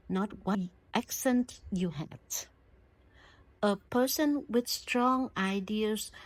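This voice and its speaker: A woman giving a prepared English lesson, speaking in a slow, deliberate and measured way. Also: fairly high pitch, darkish tone, dry recording, low-quality recording. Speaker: English teacher.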